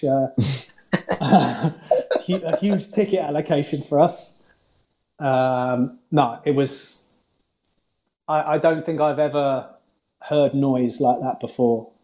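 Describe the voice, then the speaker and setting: A man's voice: fairly high pitch, unhurried, dark tone, low-quality recording. A man, a former head coach, talking earnestly about past matches in a casual chat, often restarting his sentences.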